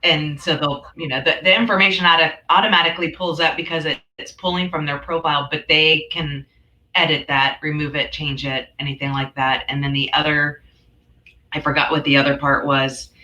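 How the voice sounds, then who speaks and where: A woman hosts a casual webinar with a bright, forward voice. She talks in a chatty, informal manner. The sound is roomy, with clear echo around her words. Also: deep voice, fairly even intonation, a little fast. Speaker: host.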